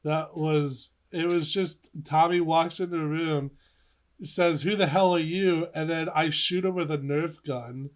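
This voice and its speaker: A young man co-hosting a casual podcast, telling stories in an animated, giggly way. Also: fairly high pitch, a little slow. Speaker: podcast co-host. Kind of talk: casual podcast chat, reminiscing.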